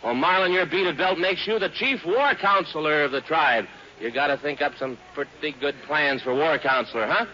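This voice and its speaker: A man's voice: a little high, normal speed, bright, forceful tone. An American man hosting a 1940s children's radio show in a hearty, folksy showman's manner. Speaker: radio show host and announcer. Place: live radio broadcast before an audience. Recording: dull, noisy 1940s radio recording.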